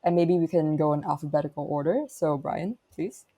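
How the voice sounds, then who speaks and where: A woman's voice: low-pitched, a little fast, dark tone, breathy edge, highs cut off. A woman hosting a podcast, reading prepared questions and definitions. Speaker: podcast host. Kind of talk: scripted interview questions.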